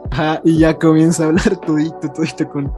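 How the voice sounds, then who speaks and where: A fairly high-voiced man, hosting a relaxed interview. He nods along with quick affirmations before asking his questions. Music plays clearly behind him. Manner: agreeable, short affirmations. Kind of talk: casual interview talk.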